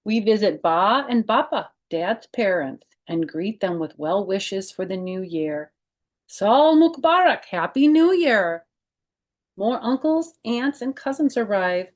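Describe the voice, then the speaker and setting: A woman's voice: mid-range voice, expressive, slow pace. A woman hosting a children's storytime, reading a picture book aloud expressively and adding chatty, informal explanations.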